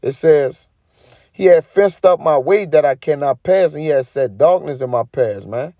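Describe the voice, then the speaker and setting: A man's voice: fairly high pitch, lively intonation, normal speed, dark tone, dry recording, clean studio sound. A man giving an informal sermon, reading scripture and commenting in an emphatic, colloquial way with frequent tag questions. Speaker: preacher.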